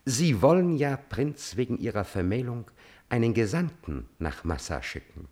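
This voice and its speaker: A man's voice: mid-range voice, very animated, moderate pace, a little mellow, natural voice. A scheming, deferential courtier acted by a man in a classical radio play. His intonation swoops and dips dramatically as he insinuates, coolly calculating beneath the servility.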